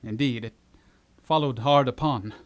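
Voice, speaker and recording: A man's voice: medium pitch, measured pace, close to an everyday voice. A man playing a prince's earnest, loyal friend in a theatrical Shakespearean puppet play, respectful in manner. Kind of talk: theatrical Shakespearean stage acting for puppet show. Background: loud music.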